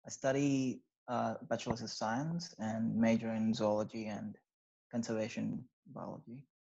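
A man's voice: on the low side, moderate pace, creaky, breathy edge. A young man from Sri Lanka, an undergraduate student, answering interview questions casually with many fillers over a video call from a small bedroom. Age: young adult. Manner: relaxed, hesitant, many fillers. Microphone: video call with wired earphones. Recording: slightly dull video-call audio.

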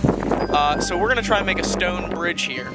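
Upbeat young vlogger outdoors. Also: male voice, high voice, expressive, moderate pace, loud music behind the voice, poor audio. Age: young adult. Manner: chatty, upbeat, walks while talking. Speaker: vlog host. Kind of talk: casual vlog narration to camera. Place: outdoors by a creek and cemetery. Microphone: handheld camera at arm's length.

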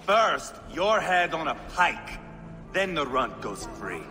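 An actor playing a brutal, crude Viking warlord who taunts and sneers. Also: male voice, on the high side, big pitch swings, slow, big-room sound. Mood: hostile. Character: brutal scarred male Viking warlord, mocking.

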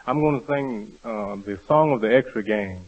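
A man's voice: lowish voice, moderate pace, slightly rough. A man from Georgia, answering a radio host in brief, plain replies. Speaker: amateur contestant, baritone singer. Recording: dull, hissy 1930s radio broadcast.

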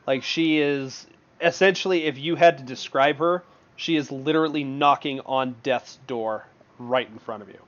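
A man's voice: mid-range voice, moderate pace. A man narrating as game master in an online tabletop session, loose and full of fillers, laughing often. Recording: dull lo-fi voice chat audio.

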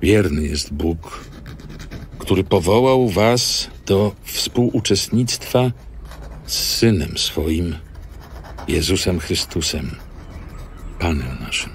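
An actor playing a grave apostle blessing believers, solemn and gravelly, in an audio Bible drama. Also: male voice, low voice, lively intonation, a little hiss or hum, heavily acted. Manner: solemn, deliberate.

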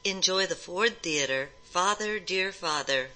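A woman's voice: on the low side, measured pace, sharp tone, poor audio. A woman hosting an old-time radio programme with a scripted introduction.

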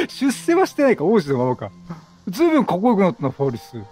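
A man with a high-pitched voice gives a casual running commentary on a video game. His delivery is very animated and chatty, full of teasing back-talk, with faint music underneath. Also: fast talker.

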